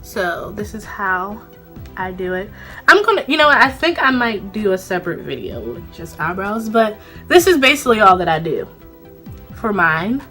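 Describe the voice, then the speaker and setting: A young woman filming herself close up on a phone in a small room, talking through a makeup routine. Her voice is bright and clear at a medium pitch, a little stuffed up and short of breath from a cold. She chats loosely and rambles, with plenty of fillers. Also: sing-song, moderate pace, soft background music. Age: young adult. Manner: chatty, rambling, lots of fillers. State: congested from a cold, a bit out of breath. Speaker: beauty vlogger. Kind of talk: casual makeup tutorial. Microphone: phone camera, close up.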